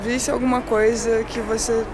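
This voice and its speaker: Relaxed woman in a street interview. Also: a little high, fairly quick. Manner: relaxed, thinking aloud with "vai" and "tipo assim". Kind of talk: casual vox-pop answers. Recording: loud street traffic noise.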